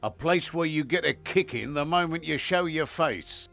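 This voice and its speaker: An actor playing a brash hunter sidekick in a fantasy video game, blunt and sardonic with a gravelly edge. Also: male voice, a little high, normal speed, a bit bright. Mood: taunting. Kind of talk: dramatic video game acting.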